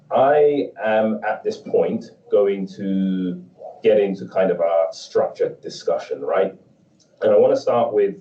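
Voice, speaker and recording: A man moderating an online panel discussion, talking in a measured, conversational way with frequent checks like "right?". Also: low voice, warm tone. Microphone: video call. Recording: dull, distant, roomy call audio.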